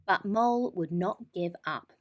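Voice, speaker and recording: A woman narrating a children's bedtime story in an expressive, deliberate manner. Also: lowish voice.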